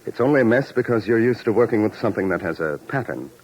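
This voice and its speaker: An actor playing a calm, genial psychiatrist with a husky, soothing, measured voice in 1950s radio drama. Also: male voice, lowish voice, muffled recording. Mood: calm, reassuring.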